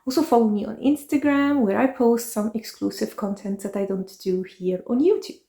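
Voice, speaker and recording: A woman's voice: fairly high pitch, normal speed, creaky, mellow. A woman in her forties from Egypt, hosting a chatty, personal vlog about her life in fragrances. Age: 40s. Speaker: fragrance channel host. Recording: echoey room.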